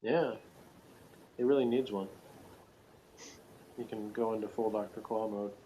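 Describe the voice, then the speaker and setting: A man's voice: lowish voice, fairly even intonation, measured pace, lo-fi sound, a little hiss or hum. A man chatting casually as co-host of a TV review, with a slangy, joking, teasing way of talking. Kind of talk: casual chat, TV episode commentary.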